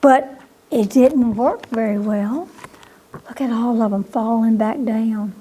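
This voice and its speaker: A woman narrates a children's bedtime story in a high voice. She takes her time and reads expressively, often turning to young listeners with a question. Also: slow pace, warm tone, husky, low-quality recording. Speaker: storytime reader at a library.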